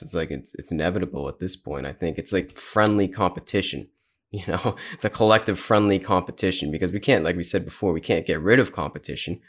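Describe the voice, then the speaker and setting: A laid-back man in his twenties with a very deep voice. He hosts a relaxed chat, agreeing easily and tossing in a friendly "man" now and then. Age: 20s. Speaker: podcast host. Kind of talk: casual podcast conversation.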